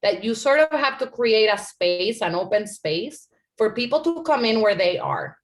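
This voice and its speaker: A woman from Puerto Rico answering questions on a panel, earnest and conversational, often adding "like" and "right?". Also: a little high. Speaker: panel speaker.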